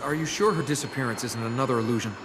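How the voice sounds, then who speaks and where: An actor playing a cocky, flippant young adventurer in a video game, wry and teasing. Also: male voice, mid-range voice, rapid-fire, very noisy, natural voice. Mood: casual, questioning. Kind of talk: video game cutscene acting. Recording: voice under loud music.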